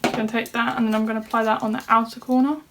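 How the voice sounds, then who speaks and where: A woman's voice: a little high, level tone, rapid-fire, background noise. A woman vlogger walking through a makeup tutorial in a casual chat, sounding slightly nasal from a cold. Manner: chatty, talks through each step. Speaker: beauty vlogger. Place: home room at a desk.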